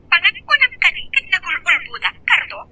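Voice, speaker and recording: A woman's voice: very high voice, very animated, a little fast, pushed and bright, exaggerated voice. A woman playing a curt, scornful, practical-minded woman in an Ilocano love drama, with a dismissive way of talking. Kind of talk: Ilocano radio-style love drama acting.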